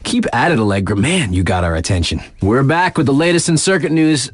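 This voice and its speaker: A man playing a cool, flirty, hyped snowboarding radio DJ in a video game, with slangy, laid-back showmanship and drawn-out emphasis. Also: mid-range voice, animated, no echo, natural voice. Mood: upbeat, confident. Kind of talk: radio DJ competitor spotlights and sports updates.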